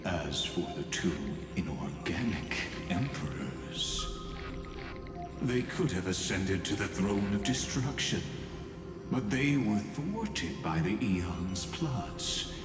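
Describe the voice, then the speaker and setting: A man voicing a cold, scheming game villain with a measured, ominous, philosophical manner. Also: low voice, animated. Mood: cold, taunting. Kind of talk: dramatic video game dialogue. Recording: long echoing reverb, loud music behind.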